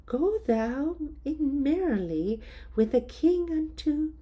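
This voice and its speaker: A woman's voice: sits high, lively intonation, slow pace, warm tone, dull audio, pitched above the performer's own voice. A woman voicing a scheming wife.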